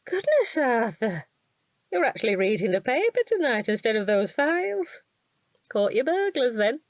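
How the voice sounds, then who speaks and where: An actress with a British accent playing a chatty, kindly, trusting wife of a police detective in an audio drama. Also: female voice, a little high, normal speed, dry recording. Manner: chatty, gushing, expressive. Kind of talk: naturalistic audio drama acting.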